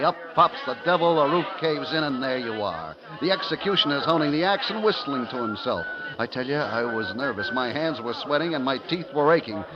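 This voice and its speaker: An actor playing a nervous, smooth-talking young store clerk, colloquial and wry, in a 1950s radio thriller. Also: male voice, a little high, close to an everyday voice. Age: young adult. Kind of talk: 1950s radio thriller acting with first-person narration. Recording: dull vintage 1950s radio recording.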